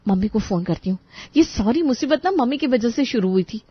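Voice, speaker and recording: A woman's voice: on the high side, animated, brisk, breathy edge, dry recording. A woman voicing a frantic, complaining young daughter-in-law, on a dry, muffled studio recording. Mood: exasperated.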